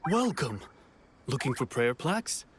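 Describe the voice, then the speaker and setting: A man playing a courteous festival stall keeper in an anime game, with polite, measured sales patter. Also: mid-range voice, sing-song, slightly rough, full character voice. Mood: welcoming. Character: courteous male festival stall keeper selling prayer plaques.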